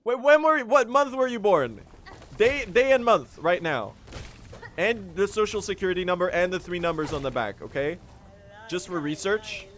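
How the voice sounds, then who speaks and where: A late-teen man from Sweden, a native Swedish speaker, chatting casually on a gaming livestream in a rambling, joking way with sudden excited outbursts. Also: high-pitched, moderate pace, a little hiss or hum. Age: late teens. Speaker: streamer.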